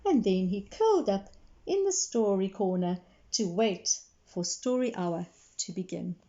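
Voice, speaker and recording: A woman's voice: medium pitch, animated, unhurried, dark tone, dull audio. A woman reading a picture book aloud to children as a librarian, with simple explanations along the way. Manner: clear explanatory storytelling for young listeners.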